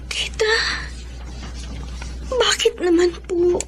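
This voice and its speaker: A woman's voice: extremely high pitch, steady tone, very slow, fairly bright tone, a little hiss or hum, full character voice. An actress playing a meek, hurt young Filipino woman in a radio drama, polite and plaintive. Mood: anxious, pleading. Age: young adult.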